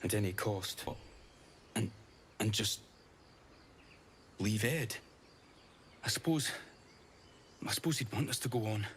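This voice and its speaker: A man playing an anguished young cultist fighter in a fantasy video game, speaking in an urgent, halting, emotional way. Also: fairly low pitch, expressive, a bit bright, a little hiss or hum. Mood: shaken resolve. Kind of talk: dramatic video game acting.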